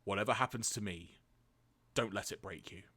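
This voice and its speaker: A man voicing a stern, stoic cartoon father, gravely injured and speaking in a measured, solemn way. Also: lowish voice, bright, forceful tone, voice pushed down.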